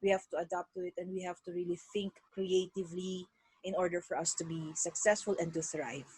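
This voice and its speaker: A Filipino woman giving conversational, earnest interview answers in an animated way over a video call. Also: fairly low pitch, a little fast, breathy edge, no echo, poor audio. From Philippines. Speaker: interview guest, virtual assistant.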